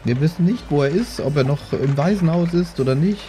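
A man voicing a prim, kindly but strict lady adventurer in an improvised roleplay audio drama, genteel and gently scolding. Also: fairly high pitch, brisk, soft background music, natural voice. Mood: mildly worried.

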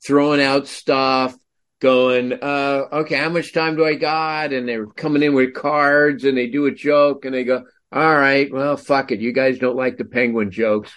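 Slow-talking male podcast host. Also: medium pitch, a bit bright. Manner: storytelling, incredulous asides. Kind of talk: casual interview chat.

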